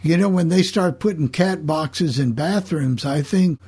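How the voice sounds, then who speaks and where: A man hosting a casual group call in an unhurried, folksy way, with a husky voice. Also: on the high side, a little mellow. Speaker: host of club call.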